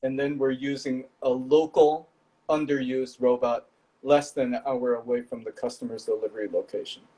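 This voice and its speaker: A man from Indonesia, pitched in a middle range, talks steadily about how his company works. He explains one step at a time over a video call, pausing now and then on small fillers. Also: steady tone, warm tone, rough recording. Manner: measured, explanatory, some fillers. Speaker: startup founder. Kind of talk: guest explaining his business.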